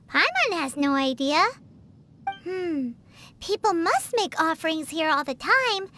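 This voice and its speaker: Tiny squeaky game fairy girl, super high and bouncy, cheeky and curious, some noise behind. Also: bright, forceful tone, full character voice. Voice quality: squeaky, childlike. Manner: refers to herself in the third person, exclamatory. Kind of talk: video game character dialogue.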